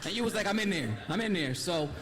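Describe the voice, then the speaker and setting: A man's voice: high-pitched, animated, fast talker, crowd noise. A man hosting a live event interview, upbeat and casual, ending many phrases with "man" and "right". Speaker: host.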